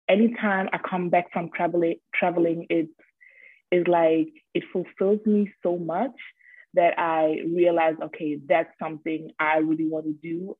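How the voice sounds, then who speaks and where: A woman's voice: sits low, husky, no room sound. Woman in her twenties on a tinny line. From Germany. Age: 20s. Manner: open, frequent ums, laughs easily. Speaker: podcast guest. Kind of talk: casual interview chat.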